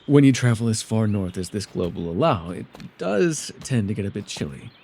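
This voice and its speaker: A man playing a mysterious, soft-spoken elf with a gently teasing, secretive way, in an immersive ASMR roleplay with hesitant, tender asides. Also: on the low side, very animated, moderate pace, creaky, a little hiss or hum. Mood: playful teasing.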